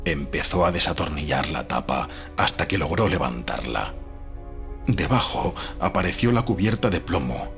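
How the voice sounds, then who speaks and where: A man's voice: rumbling low, lively intonation, normal speed, fairly bright tone. A man reading a gothic horror audiobook as a scripted, dramatic narration. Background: faint music.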